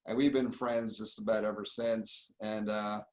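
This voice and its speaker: A man's voice: on the low side, little pitch movement, measured pace. A middle-aged man from Argentina, a surgeon being interviewed, explaining things in an easygoing, conversational way. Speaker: plastic surgeon, guest. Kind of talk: casual interview.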